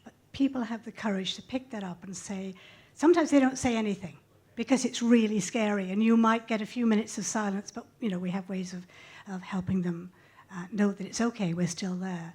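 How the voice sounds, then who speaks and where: A woman's voice: medium pitch, fairly even intonation, brisk, mellow, husky. A woman speaking on a discussion panel as a hotline volunteer, explaining earnestly and reflectively.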